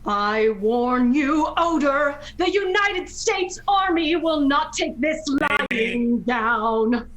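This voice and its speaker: A woman's voice: high voice, lively intonation, takes it slowly, hardly any pauses, fairly bright tone, slight background noise, full character voice. A woman playing a defiant, earnest male army commander held captive in a 1940s-style radio play, declaiming the lines.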